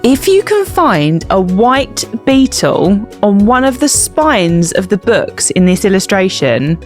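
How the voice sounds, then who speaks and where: A woman's voice: mid-range voice, sing-song, slow, faint music behind. A woman chatting casually as a mother co-hosting children's story time, encouraging, asking questions and explaining words simply. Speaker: co-host, mother of the young reader.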